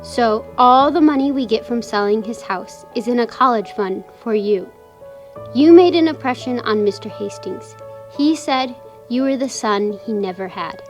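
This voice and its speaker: Woman playing a high-voiced, kindly lady lawyer. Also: moderate pace, faint music behind, lightly acted. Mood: gracious. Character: kind, professional businesswoman, a lawyer. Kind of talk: children's puppet show drama.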